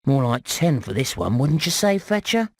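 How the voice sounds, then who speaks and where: A man playing a cheeky, shifty rat salesman in an animated game, with oily, theatrical patter. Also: medium pitch, normal speed, no echo. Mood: wheedling, haggling. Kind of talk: animated video game voice acting.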